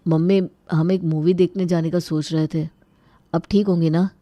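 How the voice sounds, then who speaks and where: A woman voicing a polite, mild young man in a family storybook tale, courteous and soft-spoken. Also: on the low side, steady tone, moderate pace, no echo, natural voice. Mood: gentle concern.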